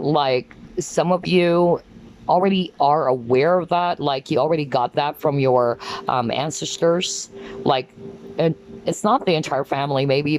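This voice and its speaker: Woman reading tarot, voice going hoarse. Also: rumbling low, a little mellow, a little hiss or hum, poor audio. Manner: rambling, full of "like" and "um", pauses to channel messages. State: losing her voice. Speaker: tarot card reader. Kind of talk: pick-a-card spiritual reading to camera.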